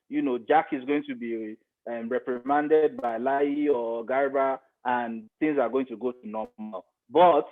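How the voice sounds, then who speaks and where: Middle-aged Nigerian male interviewee, mid-pitched, emphatic, repeats himself for weight, narrow telephone-style audio. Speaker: interview guest, media expert. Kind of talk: conversational interview commentary. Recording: phone-like thin radio-style sound.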